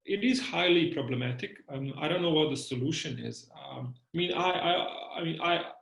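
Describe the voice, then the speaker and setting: A man's voice: a little high, moderate pace, gravelly, a bit bright. Hesitant Bosnian-accented man, echoey room. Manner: hesitant, many fillers and repeated words. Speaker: lecturer. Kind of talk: reflective interview talk.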